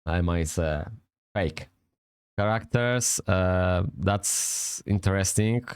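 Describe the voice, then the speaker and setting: A man's voice: low-pitched, takes it slowly, smooth, dry recording, studio quality. A man from Poland with a Polish accent, rambling casually through an app review, swearing often and correcting himself as he goes. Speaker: vlogger reviewing a language app.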